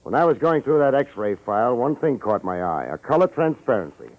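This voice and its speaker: A dull vintage radio recording carries an actor as a tough American male detective. He is wry, slangy and sure of himself. His pitch moves around a lot as he delivers his lines in classic fifties radio crime style. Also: big pitch swings, fairly quick, close to an everyday voice. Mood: sardonic, confident. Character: wisecracking, self-assured tough male private detective.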